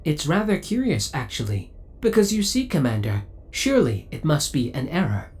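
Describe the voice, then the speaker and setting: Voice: medium pitch, natural voice. Actor voicing a lilting, possessive spaceship AI. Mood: clinical, suspicious. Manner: formal, precise, clinical, politely menacing. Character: possessive spaceship AI, devoted, coldly jealous, clinical. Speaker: voice actor playing a ship's AI. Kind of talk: scripted ASMR audio roleplay acting.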